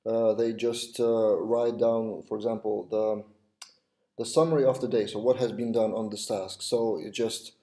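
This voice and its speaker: A man's voice: on the low side, little pitch movement, normal speed, dark tone. A man in his thirties from Lithuania with a Lithuanian accent, talking casually to a webcam in a small office, with frequent fillers and restarts. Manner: hesitant, many restarts and fillers. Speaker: web developer vlogger. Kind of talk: casual talk to camera.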